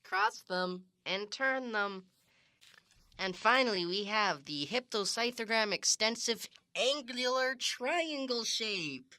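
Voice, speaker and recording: A woman voices an earnest puppet sidekick on a spoof children's show. The speech is slow and deliberate, stretching words out in a bright tone. The sound is dry. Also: on the high side, expressive, no room sound, full character voice. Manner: drawn-out, deliberate. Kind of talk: improvised radio comedy sketch.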